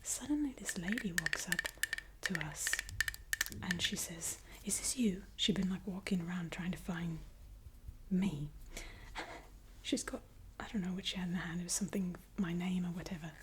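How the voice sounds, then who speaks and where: A woman whispering a chatty, animated story in ASMR style. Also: fairly low pitch, moderate pace, long pauses. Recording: clear background hiss with clicks.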